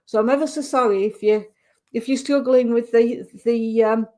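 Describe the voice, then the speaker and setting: A woman with a Yorkshire accent giving a live psychic reading on the radio, gentle and reassuring, often restarting her sentences. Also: fairly high pitch, steady tone, moderate pace.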